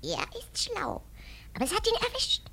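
Shrill squirrel henchman, gleefully cruel, very high, gravelly edge, sharp, taunting, radio play. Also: very animated, normal speed, in a whisper, heavily acted. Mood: gleeful, sly. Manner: taunting, rhyming, conspiratorial. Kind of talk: German radio play acting.

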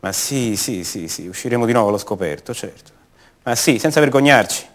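A man's voice: mid-range voice, expressive, fairly bright tone, close to an everyday voice. Hesitant, wry man played by an actor. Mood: casual, reassuring. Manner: halting, conversational, rhetorical questions to the listener. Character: ordinary man returned home, hesitant, wry, self-doubting drifter. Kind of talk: naturalistic theatrical monologue for radio drama.

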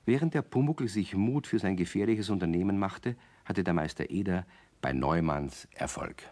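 A man's voice: low-pitched, normal speed, darkish tone. A man narrating a children's radio play in a scripted storytelling style.

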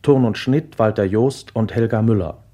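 A man reading the closing credits of a radio play in a measured, formal way. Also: lowish voice, dark tone. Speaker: radio announcer.

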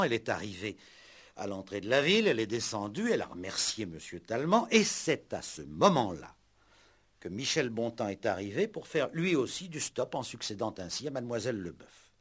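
An actor playing a shrewd, ironic police commissioner in a 1960s French radio play, speaking with deliberate, mock-courteous drama. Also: male voice, lowish voice, husky. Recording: old 1960s radio recording.

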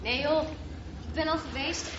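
High-voiced teenage girl, muffled recording. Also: normal speed, fairly bright tone, echoey room. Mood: cool, reluctant. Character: Michelle, teenage girl, casual, cool but curious. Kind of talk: naturalistic radio drama acting. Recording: muffled, noisy radio drama recording.

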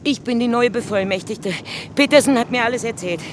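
Actress as plain-spoken nurse, noisy old recording. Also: female voice, fairly high pitch, a little fast, close to an everyday voice. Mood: matter-of-fact. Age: 30s. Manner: matter-of-fact, unadorned, short statements. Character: plain-spoken, composed nurse from a harsh colony. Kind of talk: 1950s German radio play acting. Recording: noisy vintage radio recording.

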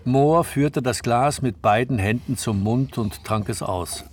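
An actor as the calm, measured third-person narrator of a crime story, reading scripted narration in a radio play. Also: male voice, mid-range voice, noisy background, lightly acted.

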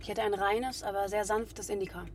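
A woman's voice: mid-range voice, level tone, fairly quick, background noise, lightly acted. A woman in her twenties playing a cool, guarded drug dealer in a naturalistic radio drama, controlled and matter-of-fact. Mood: guarded, businesslike. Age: 20s.

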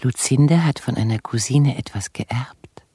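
Husky, genteel letter-writer. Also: female voice, unhurried, warm tone, no room sound, clean studio sound, full character voice. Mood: tender, confiding. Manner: formal, high-flown. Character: genteel, earnest woman reading her letter aloud. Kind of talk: radio drama letter voice-over.

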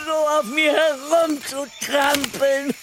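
Slow, bitter muttering man. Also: extremely high pitch, background noise, exaggerated voice. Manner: muttering, obsessively repeating himself, cackling. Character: bitter, resentful, scheming man muttering to himself. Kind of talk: radio drama monologue.

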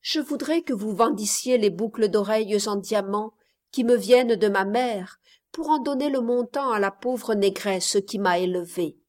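A woman voicing a dying young girl who speaks softly and with quiet resignation, in a read-aloud story. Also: mid-range voice, normal speed, close to an everyday voice. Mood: resigned.

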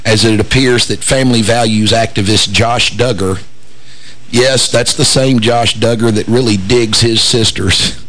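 A man with a hoarse, raspy, somewhat low voice hosts a talk radio show. He talks in a casual, wry way, throwing in jokes and stumbling through fillers and restarts. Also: fairly even intonation, measured pace, a bit bright, rough recording. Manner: wry, joking asides, frequent fillers and restarts.

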